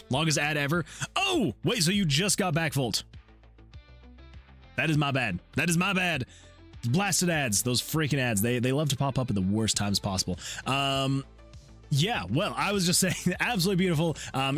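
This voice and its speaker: Guy live streaming his game dev, gushing and repeating himself, kinda high voice. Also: fairly bright tone. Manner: effusive, rambling, repeats himself. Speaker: indie game developer streaming. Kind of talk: casual livestream chat.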